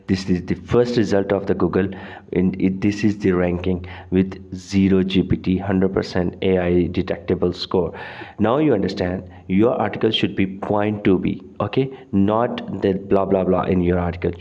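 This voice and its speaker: Low gravelly man with a dull lo-fi sound. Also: mellow. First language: Urdu. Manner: halting, many fillers and restarts. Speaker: tutorial host. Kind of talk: screen-recorded tutorial.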